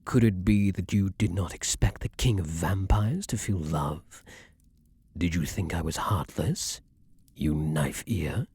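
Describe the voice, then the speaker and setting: A man playing an imperious, lovesick vampire king in an intimate ASMR fantasy roleplay, speaking formally and theatrically with breathy, dramatic pauses. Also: low voice, darkish tone, no room sound, full character voice. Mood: cold menacing fury. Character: ardent, imperious male vampire king, lovesick then menacing.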